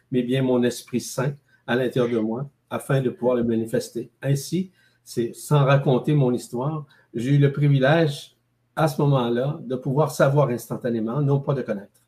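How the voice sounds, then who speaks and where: An older man with a Québécois French accent speaks over a video call from his home. His voice is mellow and dark, and he talks in a measured way, pausing often to find his words. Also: medium pitch. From Quebec City, Canada. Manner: slow, measured, many hesitations. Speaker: guest. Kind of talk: spiritual interview talk. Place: home room with plants.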